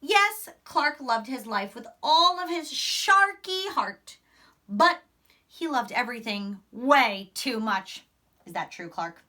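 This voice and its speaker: A woman's voice: on the high side, animated, slow, pushed and bright. A young woman teacher reading aloud to children expressively, with chatty asides, in a classroom. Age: young adult. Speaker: primary school teacher. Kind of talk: animated children's read-aloud. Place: small indoor classroom.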